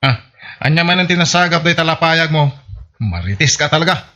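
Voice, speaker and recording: A man's voice: on the high side, animated, brisk, sharp tone. A man playing an exasperated village husband who scolds his wife in a comedy radio drama, on muffled audio. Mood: scolding.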